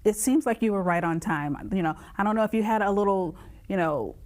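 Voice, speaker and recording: A woman's voice: mid-range voice, fairly quick, a little mellow. A woman hosting a TV interview, asking long conversational questions that set up her point before landing it. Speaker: TV host.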